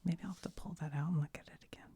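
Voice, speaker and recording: A woman whispering softly as she crafts, rambling and thinking aloud to her viewers. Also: low voice, fairly even intonation, racing, breathy edge, dry recording. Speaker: ASMR video maker. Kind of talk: whispered ASMR craft chat.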